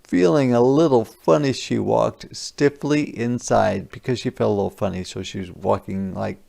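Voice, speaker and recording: A man teacher reading a children's picture book aloud, adding simple, deliberate explanations for young listeners. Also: on the low side, gravelly, warm tone. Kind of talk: interactive children's read-aloud with explanatory asides.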